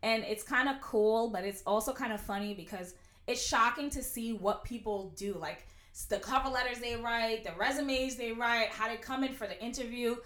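A young woman in her twenties, a prosecutor, talking loudly and outspokenly in a casual interview. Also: fairly high pitch, normal speed. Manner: loud, outspoken. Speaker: guest, prosecutor. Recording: roomy echo.

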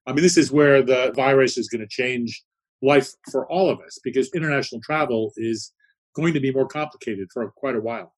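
A man's voice: mid-range voice, brisk. A man interviewed as a guest, answering in a reflective, storytelling way and often checking in with "right?". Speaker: guest, business consultant.

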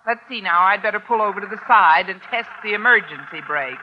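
An American actress playing a quick-witted, flustered high school teacher with dry, deadpan asides in an old radio comedy. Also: female voice, natural voice. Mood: wry, flustered. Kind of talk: 1940s-50s American radio comedy acting. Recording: noisy, muffled old radio recording.

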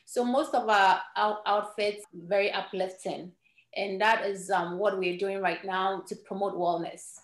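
A woman's voice: medium pitch, steady tone, a little slow, roomy sound. A woman from Ghana whose first language is Ewe, a podcast guest telling her life story earnestly, with small restarts. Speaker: guest entrepreneur.

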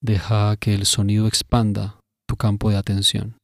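A man narrating a scripted guided meditation in a measured, instructive way, pausing between phrases. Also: fairly low pitch, monotone, no echo, studio quality.

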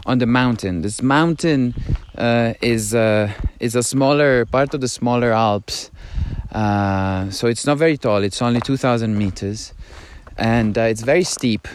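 A man from Italy with an Italian accent, talking as he walks down a mountain trail, halting and musing, sometimes dropping to a hushed, awed voice. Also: medium pitch, a little hiss or hum. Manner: musing, halting, drifts between hushed awe and excited chatter. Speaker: podcast host. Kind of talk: casual walking monologue.

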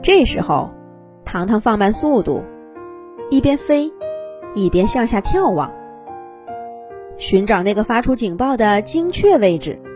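A woman reading a children's fairy tale as an expressive storyteller. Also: a little high, measured pace, long pauses, over music.

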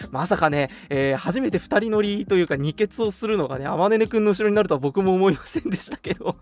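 Young man, casual livestream host, very lively intonation, playful retorts, soft background music. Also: high-pitched, fairly quick. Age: young adult. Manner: playful, mock-indignant retorts to teasing. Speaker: radio show host. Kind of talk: casual chat on a livestream radio show.

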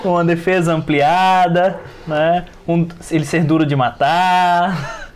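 A man's voice: a little high, fairly even intonation, slow pace, crowd noise. A man talking informally on an RPG podcast, often repeating phrases and adding short tag questions. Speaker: RPG podcast participant and player. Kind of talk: casual chat.

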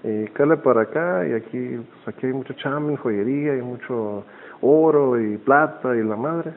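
A man's voice: mid-range voice, normal speed, a little hiss or hum. A man with a northern Mexican accent chatting casually and jokily as a podcast host, full of slang. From Nicaragua, moved to Tijuana, Mexico. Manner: slangy, jokey, laughs often. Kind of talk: casual phone chat for a podcast. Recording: thin, phone-like band-limited sound.

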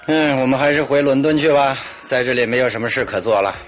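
A man's voice: mid-range voice, steady tone, fast, over music, natural voice. An actor playing a composed, amused male detective in classic Mandarin radio drama style. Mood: calm.

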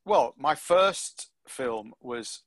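A middle-aged man answering interview questions about his acting career, reflective and unhurried, with frequent ums and restarts. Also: mid-range voice, expressive, fairly bright tone. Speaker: actor being interviewed.

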